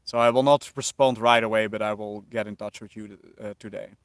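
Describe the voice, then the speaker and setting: A man's voice: fairly low pitch, a little fast, clear, resonant tone. A man from the Netherlands with a light Dutch accent, chatting casually with viewers on a livestream and musing over their questions. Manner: relaxed, musing, reads chat aloud and answers.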